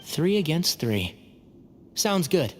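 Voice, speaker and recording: A man's voice: fairly high pitch, very animated, slow, a little hiss or hum. A man playing a thoughtful, supportive young companion in a video game, speaking earnestly and expressively. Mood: encouraging. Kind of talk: scripted video game dialogue. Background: game music.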